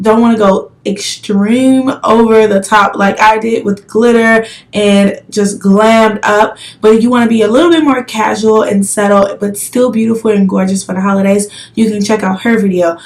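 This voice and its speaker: A woman beauty vlogger chatting casually to viewers through a makeup tutorial, her voice slightly crackly as she is losing it while under the weather. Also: on the high side. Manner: chatty, addresses viewers directly.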